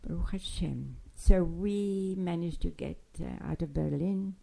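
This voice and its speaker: Gravelly, dark-voiced older woman reminiscing. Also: fairly low pitch. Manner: halting, digressive storytelling with many restarts. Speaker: interviewee recounting childhood. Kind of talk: spoken memoir, oral history.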